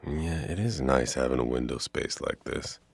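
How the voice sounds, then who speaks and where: Very deep, creaky-voiced flirty art professor played by a man. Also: no echo, heavily acted. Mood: warm, flirty. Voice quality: very deep, gravelly.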